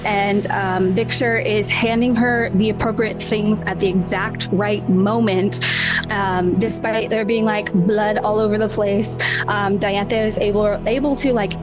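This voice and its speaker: A woman's voice: mid-range voice, steady tone, measured pace, low-quality recording. A woman playing a tabletop game, improvising descriptions of the action in a hesitant, informal way. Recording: music behind the voice. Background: music.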